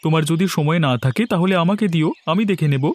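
A man's voice: fairly high pitch, quick pace, close to an everyday voice. A man voicing a greedy young son-in-law in a cartoon, grumbling and sarcastic. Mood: excuse-making.

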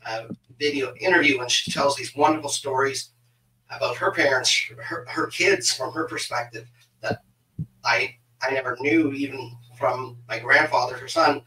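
A middle-aged man chats as a podcast host with a bright, forward voice. He speaks haltingly, doubling back on words. The sound is roomy and set back from the mic, as on a video call. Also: mid-range voice, fairly even intonation, far from the mic, a little hiss or hum. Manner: halting, repeats words as he goes. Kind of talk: casual interview chat. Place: small home office on a video call.

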